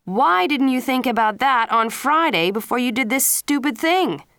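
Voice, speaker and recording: A woman's voice: on the low side, measured pace, creaky, smooth, no room sound. Woman narrator voicing a stern father, firm delivery, dry studio. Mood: angry. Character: stern middle-aged father scolding his son. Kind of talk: storybook character reading.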